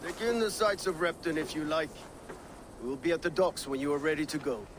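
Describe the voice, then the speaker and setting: Male actor as Viking war leader, fairly high pitch, hearty, assured, commanding, game cutscene, slight background noise. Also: fairly quick, natural voice. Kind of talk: video game cutscene acting.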